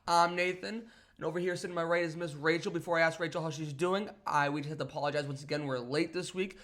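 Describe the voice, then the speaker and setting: A man's voice: a little high, fairly even intonation, fast, a bit bright. A man co-hosting a casual sports and pop culture podcast, chatting in an upbeat, joking way and slightly under the weather. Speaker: podcast co-host. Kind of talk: casual chat. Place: apartment in a big city.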